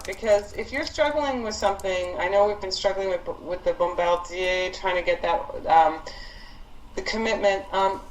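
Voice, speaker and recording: A woman leading a programme discussion on a conference call, explaining informally in a halting, encouraging way. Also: mid-range voice. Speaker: programme leader and instructor. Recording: roomy conference call, faint noise.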